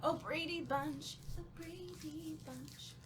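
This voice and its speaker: A woman's voice: sits high, slow pace, over music. A woman talking playfully and informally on a group podcast. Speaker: actor and writer on a podcast panel. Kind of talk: casual group chat. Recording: clearly noisy background.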